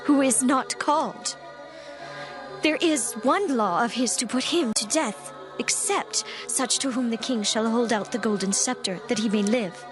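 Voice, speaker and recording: A woman's voice: fairly high pitch, unhurried, over music, lightly acted. A woman playing a dignified young queen, grave and resolute, speaking formally in a dramatized scripture reading. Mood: grave concern.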